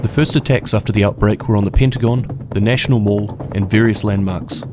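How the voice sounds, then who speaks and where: A man's voice: lowish voice, fairly even intonation, brisk. A man with a New Zealand accent delivering scripted narration as a video host. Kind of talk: scripted narration of game lore. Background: prominent background music.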